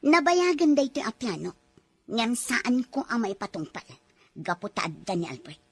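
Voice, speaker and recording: A woman's voice: a little high, big pitch swings, moderate pace, breathy edge, no echo, heavily acted. A woman playing a cold scheming lady of the house. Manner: cold, commanding, threatening. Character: scheming cruel female employer, wife of the house. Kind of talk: radio drama acting.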